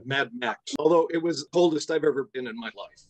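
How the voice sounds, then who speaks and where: An older man, a guest actor and author, telling relaxed anecdotes over a video call from his home study. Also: medium pitch, a little fast, no echo. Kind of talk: interview storytelling.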